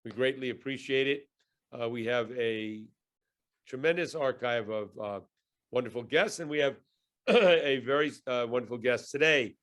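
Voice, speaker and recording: A man's voice: mid-range voice, normal speed, a bit bright. A man hosting a podcast, opening the show and introducing the guest, rambling with many fillers. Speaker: podcast host.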